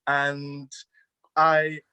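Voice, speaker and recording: A man's voice: fairly high pitch, monotone, very slow, pushed and bright, clean tone, lo-fi sound. A young man from South Africa whose first language is not English, a cheerful drama student.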